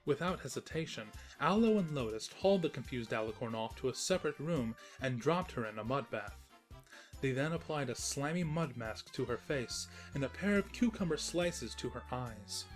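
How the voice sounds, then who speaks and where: A man playing a snarky, defensive invisible narrator of a comic pony story, reading in a wry, expressive storytelling manner. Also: medium pitch, moderate pace, faint music behind, natural voice, rough recording. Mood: narrating. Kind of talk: scripted narration of comic fan fiction.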